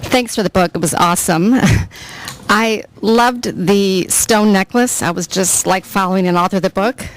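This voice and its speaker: A woman's voice: medium pitch, a little slow, rough recording. A woman in an audience asking a casual, slightly tentative question in informal words.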